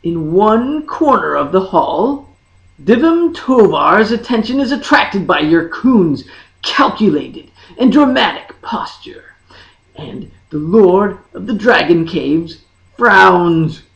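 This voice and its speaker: A woman narrates a fantasy tale with theatrical, deliberate phrasing. Her delivery is slow and lively in pitch, with a gravelly creak in the voice. The recording is a dull, lo-fi one made in an echoey room. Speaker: audiobook narrator. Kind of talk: dramatic scripted fantasy narration.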